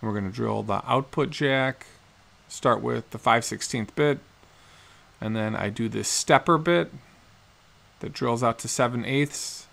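A man in his forties, a guitar builder, calmly explaining each step of his work in a matter-of-fact how-to narration in his workshop. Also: mid-range voice, measured pace, gravelly. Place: cluttered workshop indoors.

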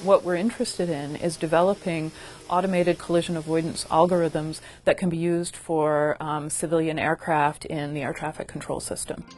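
A woman's voice: deep voice, level tone, moderate pace, a little mellow, a little hiss or hum. A middle-aged woman, an engineering professor, explaining her work in interview answers. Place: indoor meeting room.

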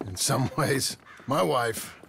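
A man acting a humble, plain-spoken former outlaw turned rancher in a video game, with a gravelly American voice and halting, polite delivery. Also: medium pitch, animated, background noise. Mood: humble, hesitant.

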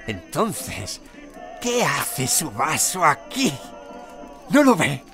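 A drunk male archaeologist in an audio drama, feverish and insistent. His pitch lurches up and down erratically. Music runs clearly behind the voice. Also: huge pitch swings, extremely slow, pushed and bright, full character voice. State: acting drunk.

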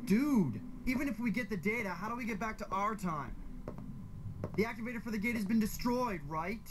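An actor playing an anxious, doubting soldier in a video game cutscene. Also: male voice, high-pitched, expressive, fairly quick. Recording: game audio with clear background noise.

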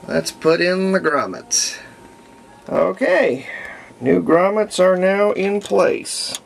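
An American man in his fifties narrates hands-on repair work unscripted, thinking aloud in a halting way as he goes. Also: sits high, expressive. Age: 50s. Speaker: hobbyist radio restorer. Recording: clear background noise.

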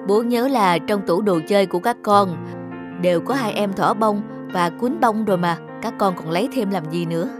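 A woman voicing a gentle father in a cartoon, explaining things patiently to young daughters. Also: medium pitch, moderate pace, over music, close to an everyday voice. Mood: patient, questioning. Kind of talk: acted cartoon dialogue.

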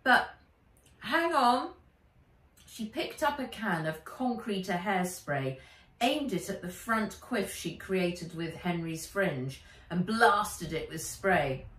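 A middle-aged woman reading a children's book aloud as its author, with measured, animated storytelling. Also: lowish voice. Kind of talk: expressive children's audiobook-style narration. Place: living room by a window. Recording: roomy, distant home camera sound.

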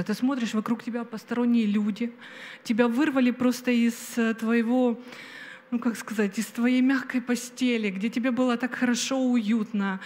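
A middle-aged woman preaching on stage into a handheld microphone, slow and emphatic, with pauses and fillers. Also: a little high. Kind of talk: sermon. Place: stage of a large hall with an audience. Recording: long hall reverb.

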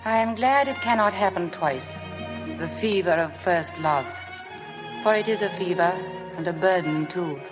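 An actress playing a shy, self-effacing young paid companion in a 1950s radio drama. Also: female voice, lowish voice, normal speed, close to an everyday voice, rough recording. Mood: wistful. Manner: hesitant, apologetic. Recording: old radio broadcast with music.

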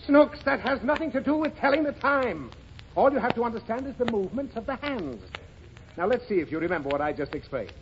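A man's voice: very high voice, big pitch swings, a little fast. A man playing an exasperated, easily flustered father in an old radio comedy, explaining things fussily. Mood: strained patience. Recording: muffled, very noisy old radio recording.

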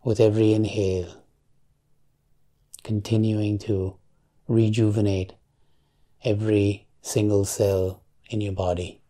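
Low-pitched man guiding meditation, very unhurried. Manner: calm, measured, long pauses between short phrases. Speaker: meditation guide. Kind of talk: guided meditation.

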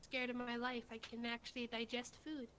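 A woman's voice: on the high side, flat delivery, fairly quick, a loud crowd. A young woman in casual chat as a participant in a quiz stream.

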